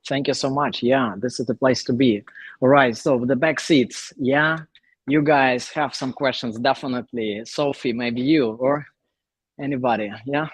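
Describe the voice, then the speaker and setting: A man's voice: mid-range voice, fairly even intonation, measured pace, husky, no echo. A man hosting a startup workshop, calling on audience members and encouraging them to speak. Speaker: workshop host.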